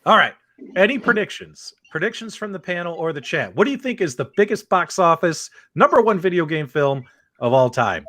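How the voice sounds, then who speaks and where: A man hosting a casual livestream countdown, excitable and teasing, with lots of exclamations. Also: high voice, fairly quick, no room sound. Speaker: live stream host. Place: online group video stream.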